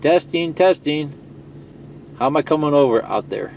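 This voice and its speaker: A man's voice: on the high side, expressive, moderate pace, vocal fry, a little mellow, a little hiss or hum. A man giving a casual, plain-spoken how-to explanation in a conversational way. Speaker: product demonstrator.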